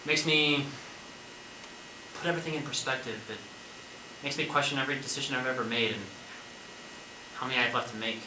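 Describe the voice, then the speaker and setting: A man's voice: medium pitch, distant mic, lightly acted. Guy acting as wry rambling zombie apocalypse survivor musing on death, stage play, echoey and kinda noisy. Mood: subdued, pensive.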